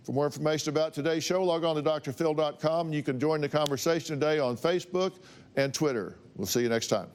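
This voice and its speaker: A man's voice: fairly high pitch, fast. A man hosting a talk show, speaking in a sign-off style to viewers. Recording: loud crowd and studio noise.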